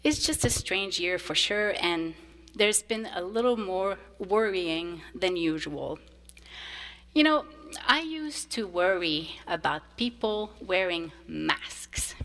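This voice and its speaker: A woman whose first language is French, a choir director, gives a gentle children's message in church. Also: on the low side, animated, slow. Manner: warm, simple storytelling to children. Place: church sanctuary. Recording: long reverb of a church sanctuary.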